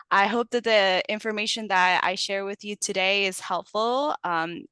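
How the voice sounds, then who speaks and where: A woman's voice: medium pitch, bright, forceful tone, smooth, dry recording. A young adult woman from Colombia giving an online presentation to teachers, friendly and explanatory with frequent ums. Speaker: guest speaker, teacher trainer.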